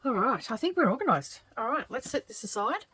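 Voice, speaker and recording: A middle-aged woman with a slightly croaky voice, chatting and thinking aloud as she works on a quilt for her craft channel. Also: a little high, big pitch swings, brisk, bright, forceful tone. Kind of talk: casual craft vlog narration while working.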